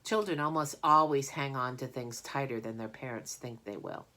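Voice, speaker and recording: A woman's voice: a bass voice, normal speed, sharp tone. A woman narrating a children's storybook aloud as a reader. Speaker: audiobook reader.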